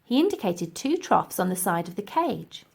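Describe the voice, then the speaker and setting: A woman narrating a scripted children's audiobook in an expressive, deliberate storytelling manner. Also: mid-range voice, creaky, slightly rough. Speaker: audiobook reader.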